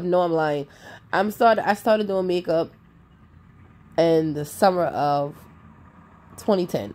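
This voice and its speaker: A sassy woman in her thirties, nasal from a cold, narrating her own makeup tutorial. Her voice sits low and has a warm, relaxed tone. She speaks emphatically and talks to viewers like a close friend. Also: a little hiss or hum. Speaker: makeup artist.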